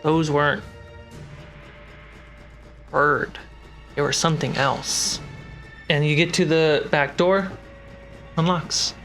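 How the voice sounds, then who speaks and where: A man acting as game master, narrating a tabletop adventure in a measured way with dramatic pauses. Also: on the high side, steady tone, fairly bright tone, soft background music.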